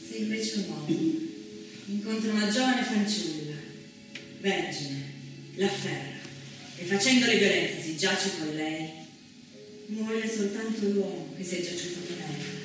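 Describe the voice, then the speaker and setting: A woman performing as a solemn theatrical narrator, declaiming deliberately, recorded from a distance with heavy echo and music behind. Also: mid-range voice, noisy background, lightly acted. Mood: grave, intense. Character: solemn female narrator of women's history, reciting scripture and law. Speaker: stage actress. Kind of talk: dramatic scripted theatrical narration.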